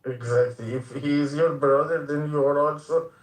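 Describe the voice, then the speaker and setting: A man with a notably high voice, speaking haltingly with frequent "uh" pauses and an earnest tone. His voice comes through echoey voice chat audio, with the room ringing around him. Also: fairly even intonation. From Iran. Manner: halting, hesitant, full of "uh" fillers, earnest. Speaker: voice chat participant. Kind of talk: heated online group chat.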